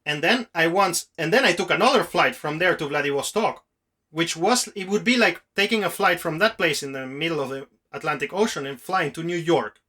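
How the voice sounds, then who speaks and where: Male podcast host, slightly high and very bright, forceful voice, earnest rambling conversational delivery. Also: fairly quick. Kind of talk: casual, reflective podcast conversation.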